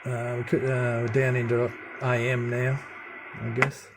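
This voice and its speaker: A man giving a casual hands-on demonstration as a radio hobbyist, with a low-key, halting delivery. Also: monotone. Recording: noisy room with radio static. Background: CB radio chatter and static.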